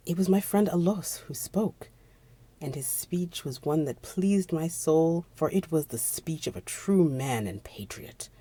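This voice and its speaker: A narrator of unclear sex giving a theatrical dramatic reading of a gothic horror story, at times dropping to a breathy near-whisper. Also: medium pitch, expressive, a little slow, dark tone, dry recording.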